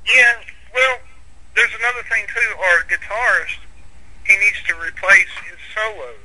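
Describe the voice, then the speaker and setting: Casting: high-voiced man with a Southern US accent, husky and plainspoken. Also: unhurried, bright, forceful tone, rough recording. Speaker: musician guest. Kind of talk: casual interview chat.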